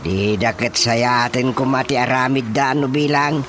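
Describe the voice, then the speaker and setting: A man acting in an Ilocano radio drama as a village watchman spooked by spirits, talking in a drawn-out, halting way. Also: medium pitch, steady tone, natural voice. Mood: insistent. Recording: music playing behind the voice. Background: prominent background music.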